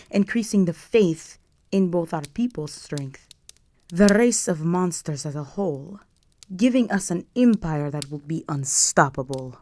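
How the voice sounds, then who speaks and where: A woman's voice: sits low, warm tone. A woman playing a regal, possessive vampire countess in an intimate audio roleplay, speaking to the listener in a formal, imperious and seductive manner.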